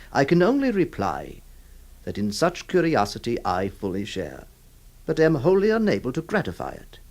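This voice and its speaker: A man playing a troubled, compassionate lawyer who narrates in solemn, halting, literary phrases for a radio drama. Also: medium pitch, a little mellow, a little hiss or hum, lightly acted. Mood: reflective. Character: troubled, compassionate male lawyer recounting a sad history.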